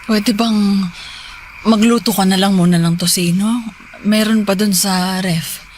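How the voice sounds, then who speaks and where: A woman's voice: medium pitch, fairly even intonation, unhurried, darkish tone, a little hiss or hum, close to an everyday voice. An actress playing a tired, evasive mother in a Filipino radio drama, hesitant and hiding her troubles. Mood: motherly. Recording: music behind.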